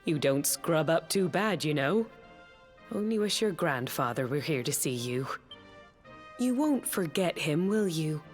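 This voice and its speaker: Lively, lowish-voiced British village mum. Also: female voice, unhurried. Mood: proud, tender. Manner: folksy, motherly chiding. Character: homely, doting middle-aged village mother, plain-spoken. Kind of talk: video game voice acting. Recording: game music behind.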